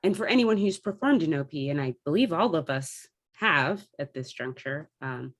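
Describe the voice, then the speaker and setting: Low-pitched woman chatting about her craft. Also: expressive, moderate pace. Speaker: theatre company artistic director and performer. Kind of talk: casual discussion of theatre craft.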